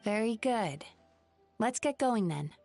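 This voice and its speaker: A woman voicing a gentle, dutiful young therapist in a video game, speaking politely and in a measured, earnest way. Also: fairly high pitch, lively intonation, soft background music. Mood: composed. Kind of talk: animated video game voice acting.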